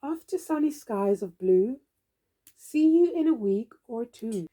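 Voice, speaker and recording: A woman reading a storybook aloud for young children in an expressive, theatrical, drawn-out way. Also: fairly high pitch. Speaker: storybook reader.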